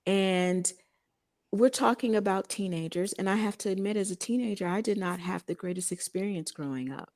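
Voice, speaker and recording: A woman with a Texas accent co-hosting a casual radio talk show, speaking emphatically and deliberately. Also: medium pitch, a little mellow, dry recording, studio quality. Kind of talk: casual chat with promotional read-outs.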